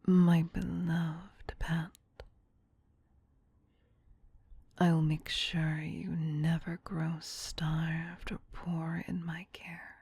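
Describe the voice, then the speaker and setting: Slow, whispering vampire queen, played by a woman. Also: low voice, little pitch movement, dry recording, exaggerated voice. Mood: tender, adoring. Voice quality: soft, breathy whisper. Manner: slow, doting, soothing. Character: tender, possessive ancient vampire queen soothing her pet. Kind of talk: whispered ASMR roleplay.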